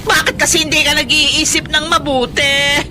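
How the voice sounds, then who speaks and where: A woman's voice: high-pitched, fairly even intonation, normal speed, natural voice. An actress playing an exasperated, money-minded wife who scolds her husband in a radio drama. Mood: upset, scolding. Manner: scolding, reproachful.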